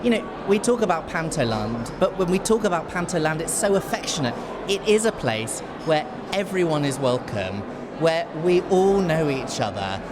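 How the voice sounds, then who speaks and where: A man's voice: on the high side, very animated, normal speed. A man speaking effusively and emphatically in a casual interview at an event. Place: event venue. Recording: noisy venue background.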